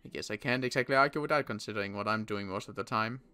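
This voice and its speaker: A young man playing a weary survivor in a Minecraft roleplay, talking in halting bursts with sighs and restarts. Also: lowish voice, bright, forceful tone, clear, resonant tone. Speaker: roleplay player and channel host.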